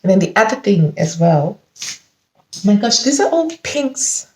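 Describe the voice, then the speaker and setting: A woman's voice: mid-range voice, measured pace. A woman in her forties chatting casually about her fabric haul, a little congested from hay fever, talking with chatty enthusiasm. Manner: chatty, enthusiastic, animated with frequent laughs. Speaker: sewing vlogger. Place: living room at home, indoors. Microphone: camera mic at close range. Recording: echoey room.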